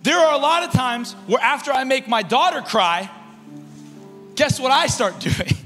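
Young youth pastor guy preaching on a big stage w handheld mic, swings loud to soft, faint music under it. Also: expressive, a little slow. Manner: swings from shouted emphasis to low, soft asides; paces and gestures across the stage. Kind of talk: energetic sermon. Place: large stage in a concert hall. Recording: reverberant hall with faint music.

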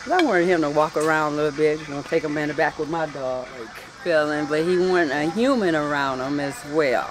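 A woman's voice: low voice, expressive, a little fast, few pauses, background noise. A woman on an outdoor porch telling a casual, chatty story, repeating herself as she goes.

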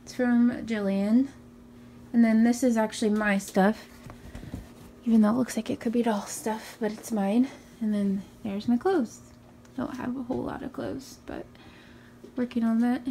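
A young woman with a raspy morning voice, chatting casually through a tour of her small bedroom, filmed on a handheld phone. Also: a little high, steady tone, normal speed, long pauses, dark tone, a little hiss or hum. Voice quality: raspy from just waking up. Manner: chatty, upbeat, many ums and likes. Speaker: vlogger. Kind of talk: casual room tour vlog. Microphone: handheld phone camera.

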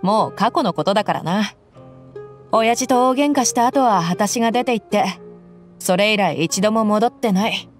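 Game mercenary, young woman, blunt and easygoing, rough casual delivery, actress. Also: medium pitch, normal speed, faint music behind, natural voice. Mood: tough. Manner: rough, casual, relaxed speech.

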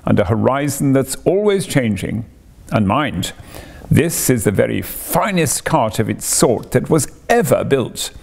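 A man voicing a boastful, excitable male toad who pleads and shows off in a storybook reading. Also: medium pitch, creaky, exaggerated voice. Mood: exuberant. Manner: exuberant, theatrical, pleading.

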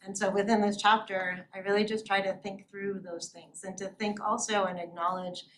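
A middle-aged woman gives a reflective academic talk, breaking into soft laughs now and then. Her voice sits at a middle pitch. A faint hum of the seminar room stays in the background. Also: level tone, moderate pace. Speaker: lecturer.

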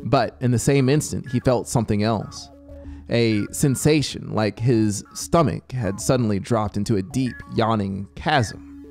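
A man's voice: lowish voice, unhurried, vocal fry, darkish tone. Guy reading a scifi audiobook, close mic, suspenseful and theatrical, music underneath. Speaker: audiobook narrator. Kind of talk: dramatic scripted narration over a soundscape. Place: small home music studio. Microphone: close studio microphone. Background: music soundscape.

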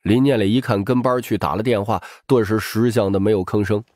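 A man's voice: on the low side, fairly even intonation, fairly quick, darkish tone. A man narrating a novel as a dramatic scripted audiobook.

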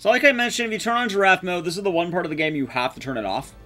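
A man's voice: high voice, rapid-fire, bright, forceful tone. A young man giving casual live game commentary as a speedrun streamer, self-deprecating and swearing casually. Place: small indoor streaming room. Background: game music.

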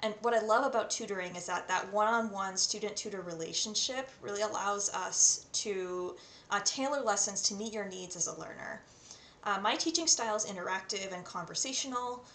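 A woman's voice: mid-range voice, a little fast, fairly bright tone. A woman in her twenties with a North American accent, presenting as an online English tutor. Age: 20s. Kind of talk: self-introduction to camera with poem readings. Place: small indoor room at home. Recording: roomy echo, faint background noise.

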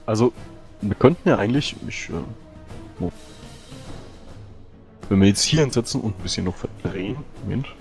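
A man's voice: on the low side, lively intonation, normal speed, vocal fry, pauses often, faint music behind. A man, a player in a tabletop strategy game, talking eagerly and often repeating himself. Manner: eager, repeats words, restarts. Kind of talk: strategy discussion.

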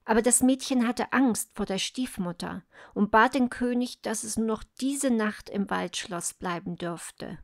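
Slow-paced woman reading a fairy tale. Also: fairly high pitch, no room sound, clean studio sound. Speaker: storyteller. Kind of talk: scripted fairy tale narration.

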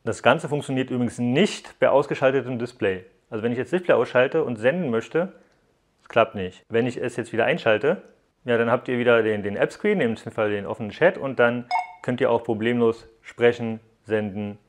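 A man's voice: medium pitch, normal speed. A young man giving a casual, conversational hands-on review to viewers, explaining things informally as he goes. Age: young adult. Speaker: tech app reviewer. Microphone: lapel mic.

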